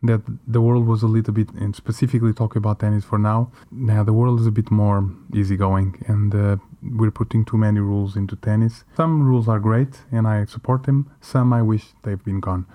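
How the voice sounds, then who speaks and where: A man's voice: fairly low pitch, steady tone, a little fast, hardly any pauses, mellow. A man co-hosting a casual podcast, giving his opinions emphatically but agreeably, with a philosophical turn. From Portugal or another country outside Britain. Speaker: podcast co-host.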